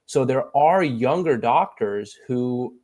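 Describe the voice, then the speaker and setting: A man in his thirties talking earnestly on a casual panel chat, acting out the voices of people he quotes. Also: medium pitch. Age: 30s. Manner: earnest, quick and animated, acts out quoted voices. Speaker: podcast guest.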